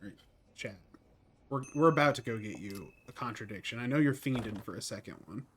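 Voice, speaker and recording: A man's voice: on the low side, lively intonation, brisk, a little hiss or hum. A man giving casual, ironic live commentary as he streams a game. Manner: jokey, ironic.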